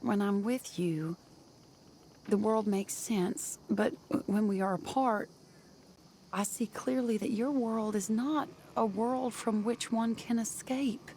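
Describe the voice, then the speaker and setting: An actress playing a heartbroken, genteel woman reading a farewell letter in a wistful, measured, sorrowful voice-over for a video game. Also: on the high side, mellow, slight background noise.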